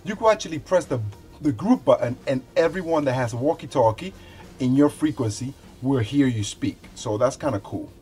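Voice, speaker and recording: A man in his forties giving a casual, unscripted product review in a conversational, halting way, often repeating words as he goes. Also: medium pitch, expressive, dark tone, soft background music. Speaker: product reviewer, show host. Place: small indoor room with shelves.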